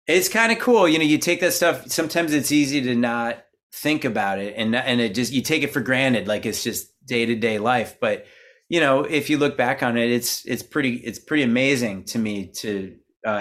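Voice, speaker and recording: A man's voice: medium pitch, a bit bright. Rambling middle-aged man, full of fillers. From Minnesota, USA. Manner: rambling, many fillers and restarts. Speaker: guest musician. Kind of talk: casual interview talk.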